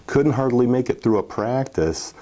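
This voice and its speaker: A man in his forties, a former football player, telling stories from his playing days in a relaxed interview, with many fillers and restarts. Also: mid-range voice, moderate pace. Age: 40s. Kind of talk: casual storytelling interview.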